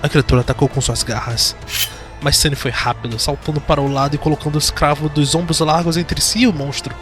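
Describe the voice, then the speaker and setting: A man with a Brazilian accent giving dramatic scripted narration for a horror fantasy audio drama. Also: on the high side. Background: music.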